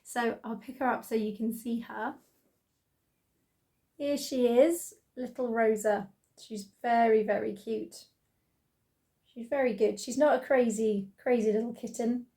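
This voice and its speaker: Croaky British woman chatting about sewing. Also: fairly high pitch, a little slow, long pauses. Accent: British English. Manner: chatty, excited, many ums. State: croaky from laryngitis. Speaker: sewing channel host. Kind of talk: casual vlog chat.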